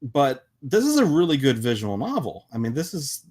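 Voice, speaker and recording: A man with a mid-pitched voice speaks in a casual podcast discussion. He is emphatic but halting, doubling back and restarting phrases as he argues his point as one of the panel. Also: wildly swooping pitch, a little mellow, breathy edge. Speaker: podcast panelist and visual novel developer.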